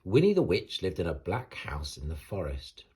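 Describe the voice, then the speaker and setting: Male storybook reader, low husky voice, lively swings in intonation, theatrical bedtime delivery for children. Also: normal speed. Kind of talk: expressive bedtime story narration.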